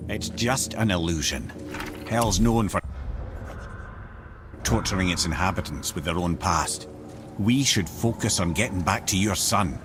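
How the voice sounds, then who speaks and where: An actor playing a talkative, learned companion in a video game, brotherly and candid with a wry, conversational manner. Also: male voice, deep voice, a little slow, long pauses, noisy background. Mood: cautioning. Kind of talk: dramatic video game acting.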